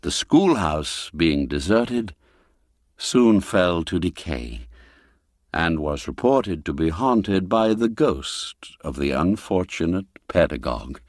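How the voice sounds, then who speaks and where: A man reading a classic tale aloud in a measured, expressive storytelling manner. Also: deep voice, no room sound, studio quality. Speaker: narrator. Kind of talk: scripted storybook narration.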